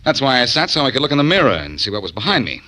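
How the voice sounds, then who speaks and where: A man's voice: mid-range voice, sing-song, very fast, pushed and bright. An American man playing a cocky, wisecracking tough detective in a 1940s radio drama. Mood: cool, taunting. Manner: wisecracking, self-assured. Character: cocky, quick-witted tough amateur detective hero. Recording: vintage 1940s radio recording.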